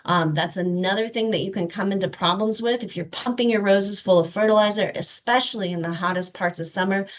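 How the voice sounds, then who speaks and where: A woman giving a webinar talk on gardening, relaxed and informative, with frequent filler words. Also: medium pitch, brisk. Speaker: rose garden presenter. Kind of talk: webinar presentation.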